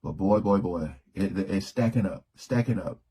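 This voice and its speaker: A man giving casual opinion commentary, halting and repeating his words as he thinks aloud. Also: deep voice, mellow, breathy edge.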